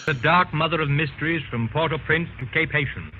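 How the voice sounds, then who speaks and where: A man's voice: fairly high pitch, brisk, lightly acted. A man playing a haunted, florid adventurer recounting his travels in a formal, dramatic 1930s radio drama style. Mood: grave, florid. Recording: tinny, noisy old radio recording.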